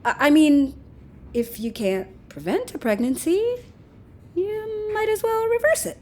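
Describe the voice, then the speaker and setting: A flippant, very high-voiced young-sounding lover. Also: female voice, a little slow, noisy background. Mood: defensive, earnest. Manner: breezy, casual, needling. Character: flippant, unrepentant woman arguing with her lover. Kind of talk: naturalistic audio drama acting.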